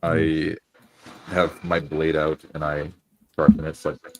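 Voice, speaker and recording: A man playing a tabletop roleplay game, narrating his character's actions in a halting, deliberate way. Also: rumbling low, little pitch movement, a little hiss or hum, low-quality recording.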